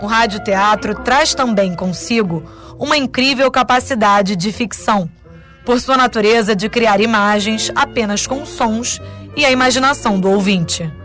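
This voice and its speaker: A woman's voice: fairly high pitch, normal speed, fairly bright tone, faint music behind. A woman with a Brazilian accent reading scripted narration for a radio documentary.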